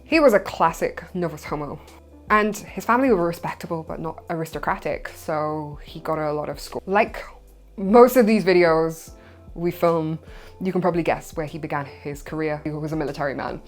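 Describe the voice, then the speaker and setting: Welsh woman host, young, low-pitched, informal history talk over faint music. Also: normal speed. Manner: chatty and informal, with loose asides. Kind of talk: casual educational monologue.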